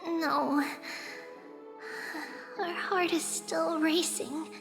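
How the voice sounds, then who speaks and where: A woman voicing a gentle, childlike girl in a video game who speaks softly and earnestly with wisdom beyond her years. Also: very high voice, fairly even intonation, drawn-out words, gravelly, full character voice. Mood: sleepy, gentle. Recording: long reverb, faint music behind.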